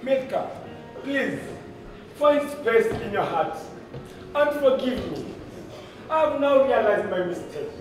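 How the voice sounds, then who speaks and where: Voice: mid-range voice, lively intonation, slow pace, long pauses. An actor playing a wealthy, stern, busy father in a stage play, speaking formal, emphatic English with a Kenyan accent. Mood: remorseful. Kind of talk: loud declamatory stage play delivery. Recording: echoey hall, distant mic, noisy ambience.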